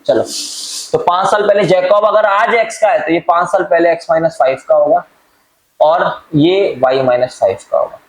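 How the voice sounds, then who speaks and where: A man's voice: fairly high pitch, normal speed. A man from India teaching a math lesson step by step, calling his students "beta" and answering his own questions. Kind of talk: step-by-step math lesson mixing Hindi and English. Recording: echoey room.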